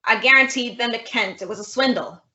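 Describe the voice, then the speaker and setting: A woman's voice: a little high, brisk, bright, forceful tone, muffled recording. Young woman playing a blustering cornered swindler. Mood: defensive. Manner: defensive and blustering. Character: cornered male swindler confessing. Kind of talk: old-time radio drama acting.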